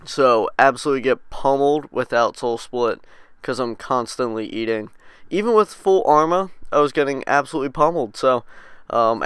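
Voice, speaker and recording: A man's voice: medium pitch, clean tone, no room sound, studio quality. A young man with a cold, stuffed up and sniffly, giving laid-back, rambling commentary over his gameplay, with lots of ums and casual swearing. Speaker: gaming channel host.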